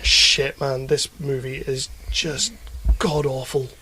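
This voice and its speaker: A man's voice: medium pitch, level tone, takes it slowly, sharp tone, a little hiss or hum. A man hosting a podcast, chatting casually about films in a blunt, sweary, ranting way. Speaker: podcast host reviewing films. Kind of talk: casual chat.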